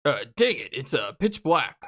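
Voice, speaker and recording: A woman's voice: rumbling low, big pitch swings, unhurried, fairly bright tone, a little hiss or hum, full character voice. A woman voicing a casual, protective teenage boy from a visual novel with a laid-back drawl. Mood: uneasy, reassuring. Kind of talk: visual novel character read-aloud.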